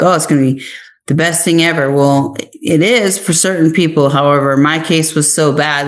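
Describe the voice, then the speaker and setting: An American woman giving conversational interview answers in an earnest, emphatic manner. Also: low-pitched. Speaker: interview guest, actress and author.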